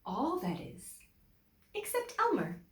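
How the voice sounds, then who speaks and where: A woman teacher reading a children's picture book aloud in an expressive, deliberate way, with emphatic phrasing for young listeners. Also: high-pitched. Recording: echoey room.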